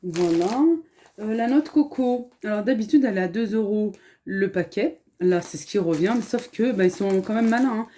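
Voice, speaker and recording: A woman from France with a slight accent from speaking Arabic at home, chatting softly and earnestly in a hushed voice at home, with many fillers. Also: medium pitch, normal speed, a little hiss or hum. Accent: French with a slight Arabic-influenced lilt. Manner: speaks softly, hushed so as not to wake children. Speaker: family vlogger. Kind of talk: casual haul vlog. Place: home kitchen, indoors.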